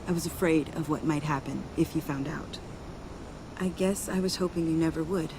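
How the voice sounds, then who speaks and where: A woman's voice: sits low, fairly even intonation, moderate pace, noisy background. A woman playing a young, guilt-ridden yet defiant former ally in a video game, earnest, confiding and emotional. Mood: anguished, pleading. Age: young adult. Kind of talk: video game dramatic acting.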